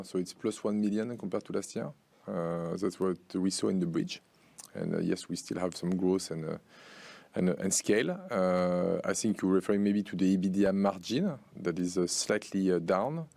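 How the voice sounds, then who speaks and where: Finance exec guy on an earnings call, deep gravelly voice, stop-and-start phrasing, really dry sound. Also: moderate pace, dark tone, no room sound. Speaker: company finance executive answering investor questions. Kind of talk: earnings call Q&A, unscripted explanation.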